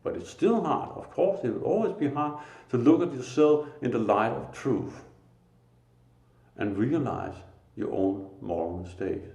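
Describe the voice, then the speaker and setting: A man with a Danish accent giving a slow, earnest, informal spiritual talk, pausing often. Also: medium pitch, expressive, creaky, darkish tone. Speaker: lay preacher. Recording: echoey room.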